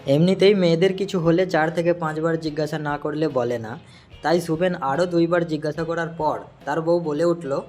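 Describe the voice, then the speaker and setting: A man's voice: a little high, fairly even intonation, normal speed, a little mellow. A man narrating a scripted cartoon story.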